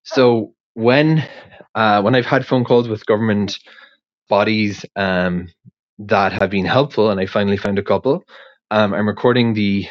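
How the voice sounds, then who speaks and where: A man's voice: fairly low pitch, no echo. A native English-speaking man rambling casually into a voice recorder, hesitant and circling back over his words. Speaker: podcast creator sending in a question. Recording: dull, dry lo-fi voice recording.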